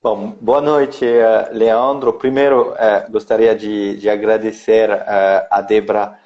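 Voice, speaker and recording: A man in his thirties from France speaking Portuguese with a French accent, slow and halting as he searches for words. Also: on the low side, flat delivery, dull audio. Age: 30s. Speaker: interview guest, company executive.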